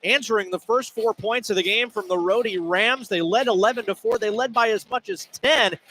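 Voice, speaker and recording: High-voiced man, American accent, forceful sportscaster delivery, live radio halftime host, noisy arena behind. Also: normal speed. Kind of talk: sports broadcast commentary. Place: indoor basketball arena.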